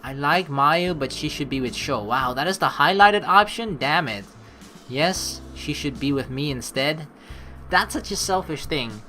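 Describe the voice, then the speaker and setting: Man, bright forceful voice, casual game commentary, rambling, thinking aloud, soft music behind. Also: a little high, animated, measured pace. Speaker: let's play commentator. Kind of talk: casual game commentary with reading of on-screen text.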